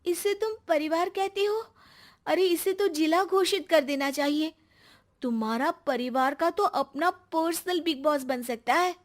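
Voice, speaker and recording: A woman voicing an excitable young daughter-in-law in a storybook tale, exclaiming theatrically with wry astonishment. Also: very high-pitched, a little slow, voice pushed up. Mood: shocked, wry.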